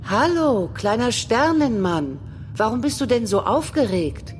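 A woman's voice: on the high side, big pitch swings, unhurried, music in the background. A woman playing a friendly, caring, busy astronaut in a children's audio play.

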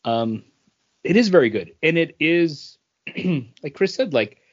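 A man co-hosting a casual review podcast, speaking conversationally and often pausing mid-sentence to find his words. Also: on the high side, expressive, dull audio. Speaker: podcast co-host reviewing comic books.

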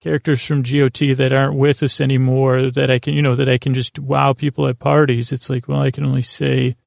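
Male sleep-podcast host, heavily gravelly fry, halting soothing rambles, close dry sound over soft music. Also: medium pitch, little pitch movement, no room sound. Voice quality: creaky, gravelly. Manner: meandering, halting, stammering and repeating himself; lulling, soothing.

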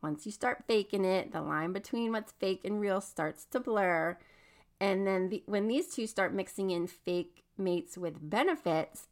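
An adult woman in her thirties hosting a podcast, chatting about books in a bubbly, enthusiastic way, her voice slightly raspy as she gets over a cold. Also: on the low side. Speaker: podcast host. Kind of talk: casual book review chat.

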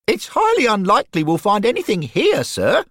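A piping ghost schoolboy, unbroken treble. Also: male voice, sits high, wildly swooping pitch, normal speed, a bit bright, no room sound, studio quality, voice pushed up, exaggerated voice. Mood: eager. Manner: fact-reciting, polite, keeps saying sir. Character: eager pedantic ghost schoolboy, voice never broken. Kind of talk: audiobook character voice.

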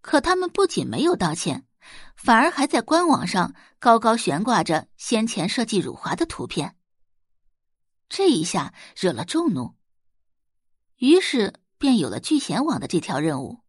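A woman's voice: mid-range voice, sing-song, normal speed, breathy edge, dry recording, clean studio sound. A woman narrating a scripted audio drama as a storyteller.

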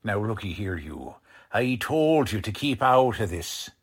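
An actor playing a gruff, sarcastic, tough police lieutenant with an American accent in 1940s radio style, blunt and slangy. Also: male voice, on the low side, sing-song, unhurried, heavily acted. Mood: sarcastic, bullying.

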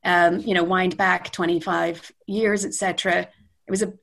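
A woman's voice: lowish voice, little pitch movement, moderate pace, creaky, fairly bright tone. A middle-aged Canadian woman, speaking off the cuff in a thoughtful, meandering way with many self-corrections. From Western Canada. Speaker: guest. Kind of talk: unscripted interview talk.

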